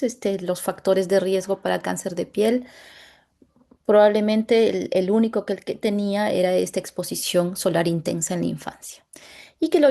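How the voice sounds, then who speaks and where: A Latin American woman, a dermatologist, presenting a medical case over a video call in a halting way, pausing often to search for words. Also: mid-range voice, creaky, warm tone. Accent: Latin American Spanish.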